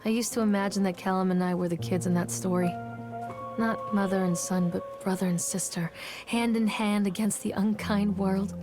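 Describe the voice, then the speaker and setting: A woman's voice: mid-range voice, fairly even intonation, moderate pace, natural voice. A woman playing an anguished, haunted mother in a dramatic video game monologue. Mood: haunted, sorrowful. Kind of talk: game monologue, inner narration. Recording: music behind.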